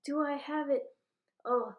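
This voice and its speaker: A woman's voice: sits high, measured pace, reverberant. A woman delivering a rambling, profane rant that jumps from topic to topic in an agitated manner.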